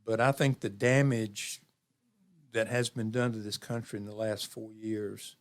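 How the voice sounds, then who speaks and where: A man in his seventies talking reflectively as an interview guest, measured, with pauses and phrases repeated for emphasis. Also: lowish voice, steady tone. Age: 70s. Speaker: interview guest, community program leader.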